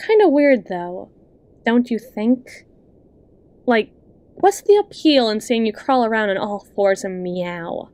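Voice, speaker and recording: A woman playing a possessive, manipulative best friend and hypnotist in an ASMR roleplay, coaxing and condescending in a soft, intimate voice, speaking straight to the listener. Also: fairly high pitch, lively intonation. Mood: teasing, feigned concern. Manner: slow, coaxing, condescending, with lingering pauses.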